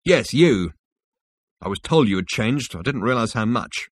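A man's voice: medium pitch, expressive, a little slow, husky, no room sound. A man voicing an earnest, insistent male galactic conspirator in an audiobook. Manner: emphatic, explanatory, insistent. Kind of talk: audiobook character dialogue.

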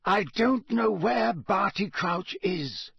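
A man performs an old wizard headmaster in a fantasy audiobook. He sounds husky and raised in pitch, with clipped, commanding authority. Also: expressive, slow, fairly bright tone, no room sound, clean studio sound, heavily acted. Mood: urgent. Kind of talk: dramatised audiobook reading.

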